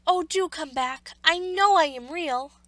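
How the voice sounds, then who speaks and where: Woman voicing a high, earnest little toy rabbit. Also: a little slow, a bit bright, no room sound. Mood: pleading. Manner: plaintive, emphatic exclamations. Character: small, earnest, wistful toy rabbit, young and male. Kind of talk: children's storybook read-aloud.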